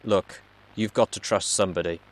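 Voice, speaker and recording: A man's voice: low voice, steady tone, moderate pace, natural voice, poor audio. A man voicing a mysterious hacker who speaks through a robot in a storybook reading, a blunt, helpful guide with a casual, matter-of-fact manner. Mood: advising.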